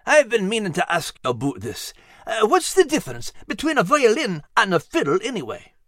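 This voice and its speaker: A man voicing a gruff, playful terrier in a children's storybook, gravelly, blunt and teasing. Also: high-pitched, huge pitch swings, moderate pace, a bit bright, no room sound, clean studio sound, pitched above the performer's own voice, full character voice. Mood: hearty, teasing. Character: gruff, playful male Scottish terrier, hearty and plainspoken. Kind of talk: animated children's storybook character reading.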